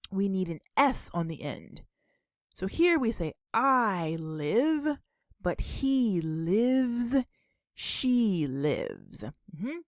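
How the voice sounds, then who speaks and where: A woman teaching a beginner English lesson, deliberately enunciating and repeating model questions and answers in an instructional way. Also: medium pitch, a little mellow, no room sound, clean studio sound.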